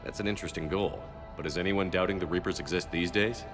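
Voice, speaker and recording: A man's voice: deep voice, fairly even intonation, fast, natural voice. A man playing a no-nonsense military commander in a video game, terse, direct and authoritative. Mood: probing. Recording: reverberant room, game music behind.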